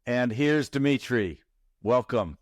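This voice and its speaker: A man's voice: mid-range voice, expressive, slow, no room sound, clean studio sound. A man hosting a podcast interview in casual conversation, stumbling and repeating words as he asks his questions. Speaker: podcast host.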